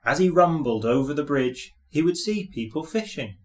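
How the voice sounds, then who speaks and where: A man's voice: on the high side, animated, moderate pace. A man reading a scripted children's story as narrator.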